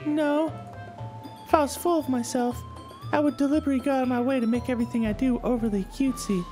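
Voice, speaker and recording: A refined, bookish anime schoolgirl as put on by a man, pitched high for a visual novel. She is hesitant and defensive, choosing formal words and stammering, over background music. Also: fairly even intonation, fast talker, dark tone. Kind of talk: visual novel character read-aloud.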